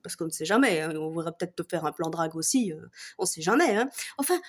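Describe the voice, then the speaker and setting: A woman's voice: on the low side, lively intonation, fast. A woman from Belgium with a Belgian French accent, ranting casually and mockingly, swearing often and breaking into laughter. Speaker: vlogger. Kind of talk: casual comic rant to camera.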